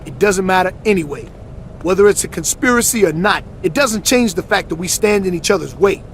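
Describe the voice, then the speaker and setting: An actor playing a proud, straight-talking male rival wrestler in a video game, with a raspy voice and an intense manner. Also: sits high, expressive, a little fast, a bit bright, slight background noise. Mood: stern. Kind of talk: scripted video game cutscene acting.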